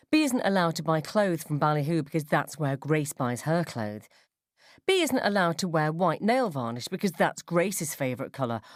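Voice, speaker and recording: A woman narrating a first-person radio story in a wry, sardonic, expressive way. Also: deep voice, no echo, clean studio sound. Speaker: short story reader.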